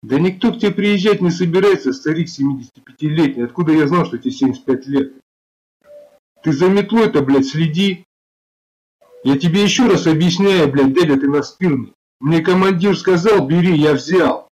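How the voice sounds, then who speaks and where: A man, a game player, calmly explaining himself and then taunting and insulting another player in voice chat. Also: fairly high pitch, normal speed, low-quality recording. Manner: calm explaining, then mocking and abusive. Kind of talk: voice chat argument.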